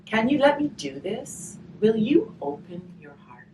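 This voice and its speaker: A woman reading a picture book aloud slowly and expressively, pausing to chat and put questions to her listeners. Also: a little high. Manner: slow, expressive reading with chatty questions to the audience. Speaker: hospice volunteer reading a picture book to children. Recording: distant mic, faint background noise.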